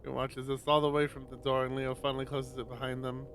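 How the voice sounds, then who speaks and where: A man's voice: medium pitch, quick pace, slight background noise. Chatty man streamer reading a game. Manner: animated, chatty asides. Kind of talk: reading a visual novel aloud with asides.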